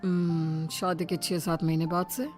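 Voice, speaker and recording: Cartoon father-in-law, acted: older man, mild peacemaker, patient and measured, music underneath. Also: medium pitch, little pitch movement, darkish tone, lightly acted. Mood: calm, placating. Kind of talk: Hindi animated moral story acting.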